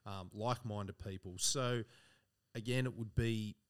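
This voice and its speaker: A man co-hosting a relaxed podcast conversation. His voice is fairly low, and he talks earnestly and thoughtfully. He often fills his sentences with "you know" and "team". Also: unhurried, clear, resonant tone. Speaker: podcast co-host. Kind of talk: casual chat.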